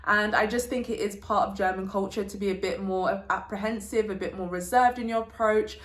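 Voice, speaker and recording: A woman's voice: mid-range voice, fairly even intonation, a little fast. A young woman hosting a casual sit-down vlog, chatting informally with slang and telling anecdotes. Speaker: vlogger, channel host. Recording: roomy, echoey indoor sound.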